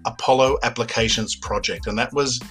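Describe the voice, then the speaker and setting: A man's voice: mid-range voice, brisk, a bit bright, husky, faint music behind. An Australian-accented man in his fifties, telling stories as an interview guest in an enthusiastic, anecdotal way. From Australia, grew up in Sydney. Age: 50s. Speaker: podcast guest, documentary filmmaker. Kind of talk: interview storytelling.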